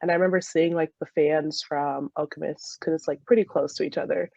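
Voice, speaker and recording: A woman's voice: low-pitched, steady tone, brisk, vocal fry, warm tone, no echo, muffled recording. A young American woman from Michigan answering interview questions in a casual, chatty way as a former college soccer player, often laughing as she talks. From Michigan, USA.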